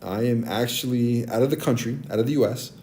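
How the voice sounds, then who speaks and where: A man in his forties with a naturally deep voice, chatting casually with stream viewers and giving friendly advice, with many ums and restarts. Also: a little fast, warm tone. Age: 40s. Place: abroad, streaming on a tablet. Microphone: tablet mic.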